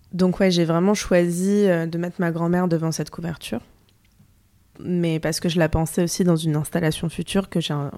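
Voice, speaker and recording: Young female artist from France; unhurried, reflective, thinks aloud; low register. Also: darkish tone. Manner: reflective, many fillers and restarts, thinking aloud. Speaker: photographer and artist, interview guest. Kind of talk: casual interview conversation.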